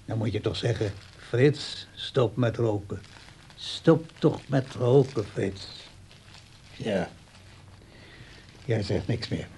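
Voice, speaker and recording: An actor playing a lonely, brooding old widowed father in a radio play, with a slow, halting, musing way of talking. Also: on the low side, mellow, slight background noise. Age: older.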